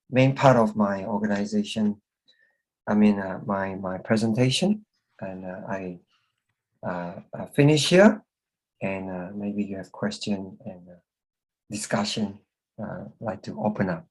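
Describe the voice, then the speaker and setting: A man from Malaysia giving a church presentation, halting and full of fillers and restarts. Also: on the low side, darkish tone, rough recording. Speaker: presenter, reverend.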